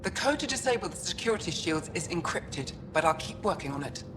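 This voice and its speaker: Low-voiced, practical alien tech woman. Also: very animated, brisk, background noise. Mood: focused, urgent. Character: brisk, practical alien mother, resistance code-breaker. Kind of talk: video game voice acting.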